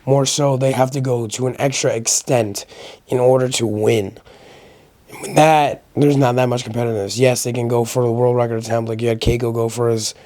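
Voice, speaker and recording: A man hosting a casual solo video commentary, giving opinions conversationally, his voice a little raspy as he gets over a sickness. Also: mid-range voice, warm tone, dry recording. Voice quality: slightly raspy. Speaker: host of a powerlifting recap channel.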